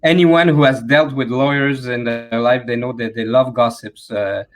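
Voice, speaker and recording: A man from Quebec with a Quebec French accent, a French native speaker, answering panel questions in English with many pauses and fillers. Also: mid-range voice, fairly even intonation, a crowd in the background. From Quebec, Canada. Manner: hesitant, many fillers, searching for words. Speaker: panel guest. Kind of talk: conversational interview answers.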